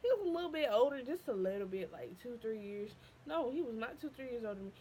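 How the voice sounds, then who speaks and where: A young woman, telling a personal story to camera in a casual, animated way, jumping high when she quotes herself and dropping low and quiet when upset. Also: fast, a little hiss or hum. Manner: animated, voice leaping high when quoting her past self. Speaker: vlogger.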